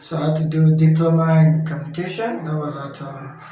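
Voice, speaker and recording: A Nigerian man in his thirties, a guest in a casual interview, talking haltingly with many fillers and frequent checks of "you understand?". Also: a little high, steady tone, mellow. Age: 30s. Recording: heavy echo with faint music.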